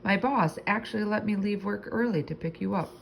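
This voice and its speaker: A woman reads as an obliging grandmother in a children's story. The old lady talks in a kindly, conversational way with some creak, over faint background noise. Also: mid-range voice, a little fast, pitched above the performer's own voice, lightly acted. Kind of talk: children's storybook read-aloud.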